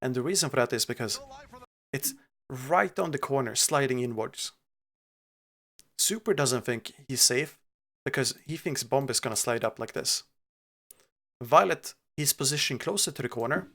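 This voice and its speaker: A young man from Sweden with a Swedish accent, casually reviewing a match replay as an analyst. He thinks aloud, pausing often with fillers. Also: medium pitch, bright, forceful tone. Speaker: esports analyst.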